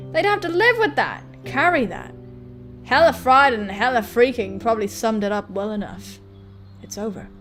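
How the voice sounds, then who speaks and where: A woman with a fairly high voice reads a visual novel aloud. Her narration is expressive, and guitar music plays behind her. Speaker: gaming video host reading a visual novel.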